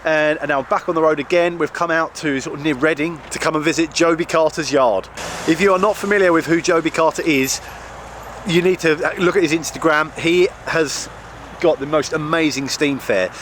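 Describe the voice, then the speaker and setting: A man with a slightly croaky voice presenting a casual vlog as its host. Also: fairly high pitch, a little fast, a bit bright. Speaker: video host. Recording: outdoor ambience, clear background noise.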